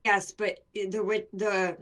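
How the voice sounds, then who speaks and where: Bright-voiced Wisconsin woman co-host. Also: medium pitch, level tone, takes it slowly. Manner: teasing, blunt wisecracks. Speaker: podcast co-host. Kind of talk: casual banter.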